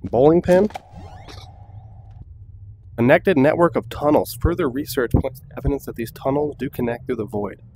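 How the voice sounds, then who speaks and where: A young man giving casual, excitable gameplay commentary, swearing often and laughing a lot. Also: medium pitch, moderate pace, long pauses, a little hiss or hum. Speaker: gaming YouTuber.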